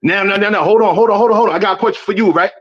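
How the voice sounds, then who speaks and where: A man's voice: sits high, rapid-fire, fairly bright tone, highs cut off. A man calling in to a live stream, arguing brashly, talking over the other person and repeating himself. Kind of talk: heated call-in debate.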